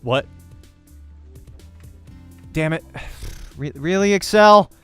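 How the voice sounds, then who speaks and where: A man's voice: fairly high pitch, expressive, drawn-out words, clean tone, soft background music. Man acting as an uneasy young gamer protagonist. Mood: exasperated.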